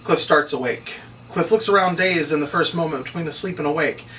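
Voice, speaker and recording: A man with a fairly high, very bright, forceful voice narrates stage directions from a script at a table read. He is recorded from a little distance, with faint noise in the room. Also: fairly quick, soft background music. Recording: distant microphone, noisy room.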